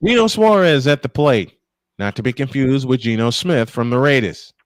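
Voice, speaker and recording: A man working as host and play-by-play commentator, calling baseball and bantering casually between pitches. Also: expressive, moderate pace, slight background noise.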